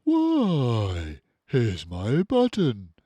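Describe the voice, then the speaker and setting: A man's voice: medium pitch, wildly swooping pitch, very slow, warm tone, slightly rough, dry recording, pitched above the performer's own voice, full character voice. A man voicing a small, innocent toy bear full of wonder, speaking earnestly as a storybook character. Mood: delighted.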